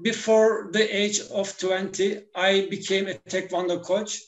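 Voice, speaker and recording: Guest, man, 40s; measured pace, halting with fillers; roomy echo on the voice. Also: high voice, flat delivery. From Turkey. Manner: hesitant, many fillers and laughs. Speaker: guest, language teacher. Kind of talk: casual interview talk.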